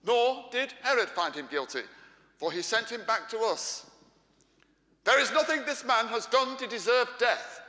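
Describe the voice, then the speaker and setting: A commanding Roman governor played by a man in a Passion play, addressing a mob in a high, forceful voice. He declaims each point insistently, and his voice rings through a large, reverberant hall. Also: animated, normal speed, heavily acted. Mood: firm, declaring. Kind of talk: church Passion play reading. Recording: echoey large church hall.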